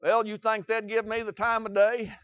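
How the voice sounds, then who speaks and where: A man preaching a sermon in a Southern US accent, folksy and repetitive, swinging between loud shouts and quiet asides. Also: high voice, flat delivery, normal speed, clean tone, no echo, dull audio.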